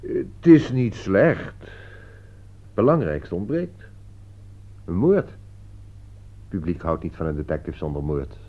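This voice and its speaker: A shrewd mystery writer with a deep voice and dry, wry delivery. His intonation moves around a lot. It is a radio play on a dull old recording. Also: big pitch swings, slow pace, long pauses, exaggerated voice. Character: dryly witty male detective-story writer, shrewd and sardonic. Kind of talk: radio play acting in Dutch.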